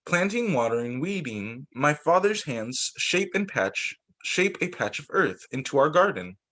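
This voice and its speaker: A man reading storybooks aloud as a teacher for young children, in an expressive way with the occasional stumble and re-read phrase. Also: fairly high pitch, measured pace.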